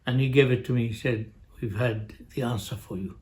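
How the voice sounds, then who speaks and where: An older man with an Arabic accent telling a family story in a halting way, full of pauses and restarts. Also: medium pitch, steady tone, a little mellow. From Jordan. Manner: halting, many uhs and restarts, chuckles at own story. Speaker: family show guest, father. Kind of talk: storytelling, casual family chat.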